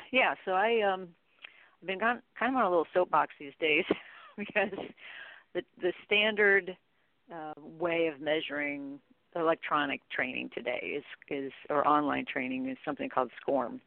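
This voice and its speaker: A middle-aged woman with a gravelly, frying edge to her voice talks as an expert guest in an interview. She explains conversationally and informally. The sound is narrow and phone-like, with a dry, close character. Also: low voice, normal speed, no room sound. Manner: explanatory, informal, peppered with "you know". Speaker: guest, contact center training expert. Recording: narrow, tinny phone-like line.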